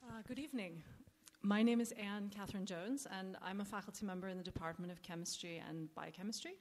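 A quiet-voiced woman from the southern United States introducing herself on a panel, softly and with small laughs. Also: medium pitch, fairly quick. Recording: reverberant hall with faint noise.

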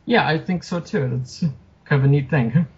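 A man being interviewed as a guest author, chatting casually in a halting, self-deprecating way. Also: a little high. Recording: muffled lo-fi sound with noticeable background noise.

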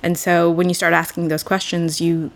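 Low, gravelly-voiced thoughtful woman. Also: little pitch movement, normal speed. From Boston, USA. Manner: thoughtful, reflective, earnest. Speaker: podcast guest. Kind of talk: casual podcast conversation.

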